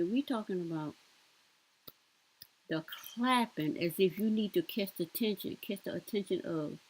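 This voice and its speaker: A woman's voice: mid-range voice, poor audio. A woman with a Southern US accent giving casual reaction commentary in a rambling, colloquial way.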